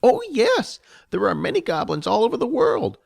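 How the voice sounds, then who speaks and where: A man's voice: high-pitched, huge pitch swings, moderate pace, creaky, voice pushed up, exaggerated voice. A man voicing a timid, fearful little female goblin servant who pleads in formal, old-fashioned speech. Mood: earnest. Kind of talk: audiobook character voice.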